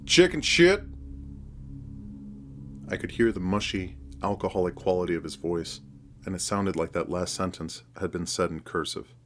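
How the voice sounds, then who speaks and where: A man's voice: low voice, flat delivery, brisk, slight background noise. A man narrating a scripted first-person horror story as its narrator.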